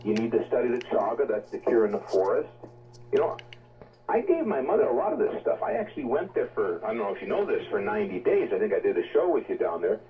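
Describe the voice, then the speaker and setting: Animated man on tinny, distant shortwave radio. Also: mid-range voice, quick pace. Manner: rambling, emphatic, exhorting. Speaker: guest promoting health supplements. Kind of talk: unscripted radio health talk.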